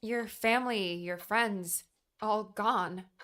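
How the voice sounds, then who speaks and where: Casting: actress as sad young woman seeking company, audiobook drama, medium-pitched, hesitant, full of questions. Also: slow, fairly bright tone. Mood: curious, sympathetic.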